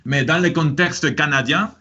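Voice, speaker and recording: A man with a Spanish accent and a forceful, bright tone, hosting a relaxed conversation. He talks haltingly, with frequent fillers and restarts. The recording sounds dull and lo-fi. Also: on the high side, breathy edge. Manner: hesitant, many fillers and restarts. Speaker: host. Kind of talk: casual conversational chat.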